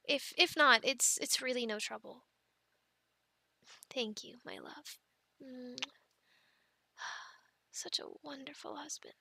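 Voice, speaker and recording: Bright, high-voiced affectionate young mom, acted by a woman. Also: no echo, full character voice. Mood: bashful, affectionate. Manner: gentle, halting, soft-spoken with playful bursts. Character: affectionate, playful pregnant young mother playing with her children. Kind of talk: ASMR family roleplay.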